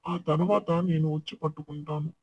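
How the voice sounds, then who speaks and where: A scheming hunter man from an animated children's folktale, voiced by a woman. The voice drops low and husky, with a dry, close sound. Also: normal speed, no room sound, highs cut off. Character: scheming male hunter setting a trap.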